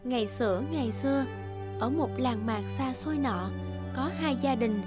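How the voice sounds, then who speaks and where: A woman's voice: high voice, normal speed. A woman narrating a scripted fairy tale as a storyteller. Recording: loud tinkling jingle music over voice.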